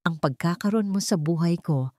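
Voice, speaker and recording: A woman's voice: on the low side, moderate pace, warm tone, no echo, studio quality, close to an everyday voice. A woman voicing a wealthy businesswoman who speaks heartfelt and firm words in a storybook romance. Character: billionaire businesswoman, proud but tender in love.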